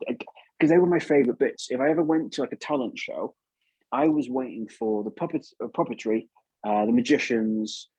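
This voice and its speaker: A man's voice: mid-range voice, gravelly, a little mellow, breathy edge, no room sound, rough recording. A man with an English accent talking casually and enthusiastically as an interview guest, rambling and often repeating words. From England. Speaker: puppeteer, podcast guest.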